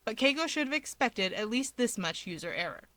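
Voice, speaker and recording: A woman narrating fiction in an expressive, wry, conversational way. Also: a little high, brisk, dry recording.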